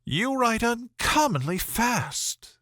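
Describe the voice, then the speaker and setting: A gushing young lady of good family, acted out by a man for an audiobook. The voice is lifted high and very animated, fawning over every word and eager to charm. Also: slow, no echo, studio quality, exaggerated voice. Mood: gushing, flattering. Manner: effusive, fawning. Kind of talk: audiobook character voice.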